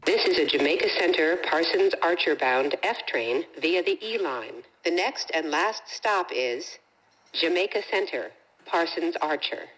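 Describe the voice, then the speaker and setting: A woman with an American accent reads scripted subway announcements, formal and clearly enunciated. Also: deep voice, lively intonation, unhurried, a bit bright, low-quality recording.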